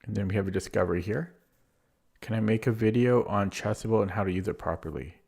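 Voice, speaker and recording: A man with a low, deep voice sounds hoarse and keeps clearing his throat. He streams chess live and mutters his way through moves. His talk is casual and unhurried. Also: noisy background. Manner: thinks aloud while playing, muttering over moves.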